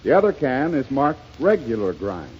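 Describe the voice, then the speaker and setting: An American man working as a radio announcer, delivering a formal, emphatic 1930s sponsor pitch. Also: big pitch swings, moderate pace. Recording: lo-fi 1930s radio, faint noise.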